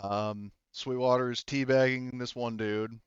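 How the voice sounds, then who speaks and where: A man acting as game master, recapping and bantering casually in halting, rambling explanations. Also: mid-range voice, steady tone, clean tone, no echo, highs cut off.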